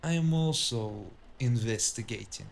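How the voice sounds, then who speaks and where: A man's voice: mid-range voice, lively intonation, a little slow, gravelly, slight background noise. A male actor playing a scornful, guarded tough guy who refuses to back down, in a noir audio drama. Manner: contemptuous, guarded. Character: defiant, scornful male cyberpunk tough cornered at gunpoint. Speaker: voice actor playing the detective's opponent.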